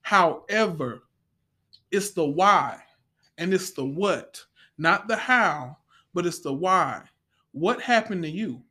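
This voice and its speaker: A man speaking in a heartfelt, conversational way as a panel guest, earnest and reflective, often pausing to restate his phrases. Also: high-pitched, lively intonation, sharp tone, breathy edge. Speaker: stage play actor, panel guest.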